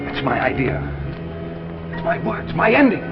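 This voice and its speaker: Excitable American husband, vintage drama. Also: male voice, mid-range voice, normal speed. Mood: shocked, dazed. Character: excitable, defensive young husband, a struggling writer. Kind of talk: 1950s television drama acting. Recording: muffled vintage broadcast, loud music behind.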